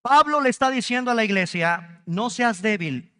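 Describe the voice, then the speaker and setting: A man's voice: high voice, animated, normal speed, a bit bright, long reverb tail. A man with a Mexican Spanish accent preaching a sermon at a church service, stretching key words and speaking straight to the congregation. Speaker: pastor.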